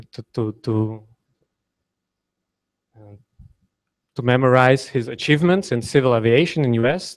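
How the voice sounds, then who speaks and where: A young man from Ukraine, a doctoral student, telling a family story haltingly with many fillers and restarts. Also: fairly low pitch, clear, resonant tone, dry recording. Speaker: workshop participant. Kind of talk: hesitant personal storytelling.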